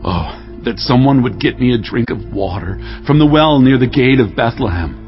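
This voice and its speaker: A man's voice: medium pitch, lively intonation, moderate pace, muffled recording, faint music behind, natural voice. An actor playing an earnest warrior king in a solemn, declaiming manner in a dramatized scripture production. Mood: longing. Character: King David, earnest adult male warrior king.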